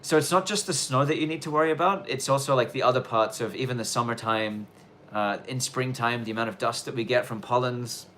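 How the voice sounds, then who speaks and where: A man in his thirties from Australia, with a mixed Australian and London accent and a touch of Irish twang, chatting casually as he answers viewer questions on a live stream, often restarting sentences and taking care to speak clearly. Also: medium pitch, a bit bright, a little hiss or hum. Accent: mixed Australian and London, with some Irish twang. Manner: rambling, self-correcting, deliberately clear delivery.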